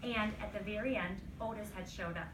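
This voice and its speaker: A woman teacher reading aloud to a class and giving pupils deliberate, instructive directions, checking that they follow along. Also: medium pitch. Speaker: elementary school teacher. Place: classroom, recorded from a distance. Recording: roomy, distant mic, faint noise.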